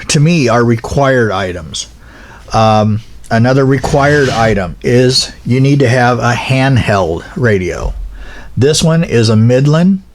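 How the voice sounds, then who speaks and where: A plain-spoken man explaining equipment informally as an instructor, in a halting, practical way. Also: medium pitch. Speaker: instructor, pilot car operator.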